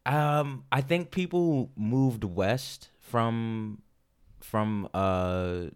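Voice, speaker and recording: An American man co-hosting a podcast, chatting casually and jokily as he reads out information in a halting way. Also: medium pitch, smooth. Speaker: podcast co-host. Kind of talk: casual comedic chat, reading out information.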